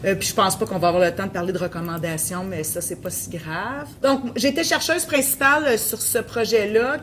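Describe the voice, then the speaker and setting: A woman with a Québécois French accent presents her research at a conference, pausing often with fillers and restarting her sentences. Her voice sits at a middle pitch, with a faint low rumble in the background. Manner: hesitant, many fillers and restarts. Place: conference room.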